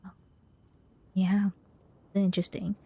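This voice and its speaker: A woman's voice: medium pitch, little pitch movement, slow pace, vocal fry, mellow, breathy edge. A woman doing casual livestream commentary as a game streamer, reacting in an informal, rambling way. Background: game music.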